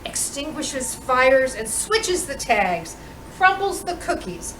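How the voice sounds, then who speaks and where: Female storytime reader, picture-book delivery, unhurried and expressive, captured in a roomy space from a distance. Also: sits high. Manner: expressive, deliberate storytelling with dramatic pauses. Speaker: storytime reader playing Mrs. Claus. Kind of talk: reading a children's picture book aloud. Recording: roomy, distant microphone with clear background noise.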